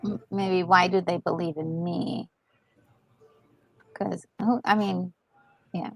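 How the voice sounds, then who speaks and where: A woman's voice: fairly low pitch, fairly even intonation, slow, vocal fry, slightly rough, rough recording. A woman co-hosting a gaming video, chatting casually as she reacts to the story. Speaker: gaming co-host. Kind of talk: casual chat, reacting to gameplay.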